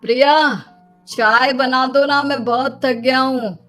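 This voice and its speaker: A woman's voice: high-pitched, fairly even intonation, takes it slowly, pushed and bright, faint music behind, heavily acted. A woman voicing a weary, exasperated young husband who pleads and complains in a children's cartoon. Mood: tired pleading. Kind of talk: Hindi children's cartoon dialogue.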